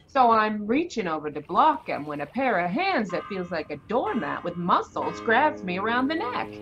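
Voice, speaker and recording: A woman's voice: medium pitch, moderate pace, muffled recording, over music. A woman playing a feisty, flustered, slangy cab driver in a 1940s radio drama. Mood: indignant, recounting. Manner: slangy, excitable storytelling.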